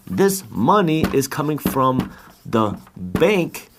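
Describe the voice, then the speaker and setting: Lively-voiced man explaining money. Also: medium pitch, slow, noisy background. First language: Punjabi. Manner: step-by-step explanation with worked examples. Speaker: finance educator. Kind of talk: explaining with a drawn diagram.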